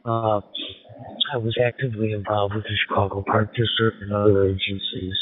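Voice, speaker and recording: A man giving a casual, halting amateur radio check-in over a microphone from a moving vehicle. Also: lowish voice, little pitch movement. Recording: thin, phone-like mobile radio transmission.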